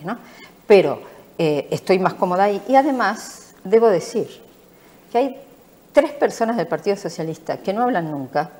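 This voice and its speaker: Lowish Argentine woman, emphatic. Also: darkish tone. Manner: emphatic and repetitive. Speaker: interviewed politician. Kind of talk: studio interview.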